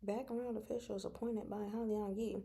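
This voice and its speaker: A woman hosting a casual book discussion, speaking from notes in an animated, giggly, self-interrupting way. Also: mid-range voice, normal speed, gravelly. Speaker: host of a book discussion show. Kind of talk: casual fan discussion, reading from notes and comments.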